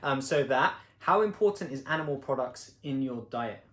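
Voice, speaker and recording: A man's voice: mid-range voice, animated, moderate pace, a bit bright. A man, hosting a casual interview with playful, joking banter. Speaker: host interviewing his partner. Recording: echoey room.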